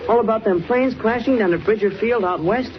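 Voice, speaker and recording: A man's voice: high voice, a little fast, lightly acted. A male actor playing an eager, boyish teenage copy boy in 1940s radio style. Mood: eager, admiring. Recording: noisy, muffled 1940s radio serial recording.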